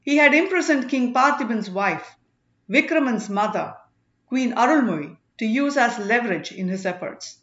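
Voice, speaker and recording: A woman voicing a stern male elder who addresses a crowd in emphatic, deliberate phrases for an audiobook. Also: on the high side, expressive, fairly bright tone, echoey room, muffled recording. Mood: stern, accusing.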